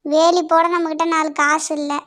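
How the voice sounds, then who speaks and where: A performer voicing a hard-up, grumbling village mother in an animated story, in colloquial speech. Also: high voice, moderate pace. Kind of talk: cartoon dialogue in colloquial rural speech.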